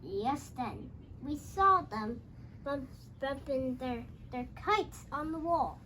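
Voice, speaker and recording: A child host retelling a storybook aloud, halting and repeating phrases, with exclaimed dialogue. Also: high-pitched, animated, pushed and bright, a little hiss or hum, low-quality recording.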